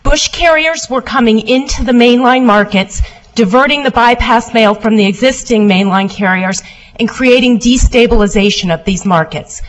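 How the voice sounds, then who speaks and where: A woman lawyer giving a formal oral argument before appeals judges, loud and steady as she answers questions. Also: on the high side, moderate pace, highs cut off. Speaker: appellate lawyer.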